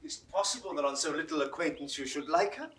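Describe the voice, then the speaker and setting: A man playing an eager, incredulous young romantic gentleman in 1960s recorded classical theatre. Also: fairly high pitch, big pitch swings, fast talker. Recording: faintly noisy 1960s recording.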